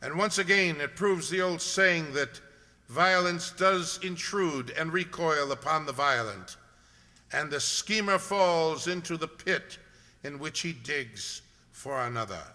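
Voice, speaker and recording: A man's voice: on the high side, unhurried, pushed and bright. A man playing a stern, commanding detective in a radio mystery, speaking with declamatory authority. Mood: somber. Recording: long hall reverb.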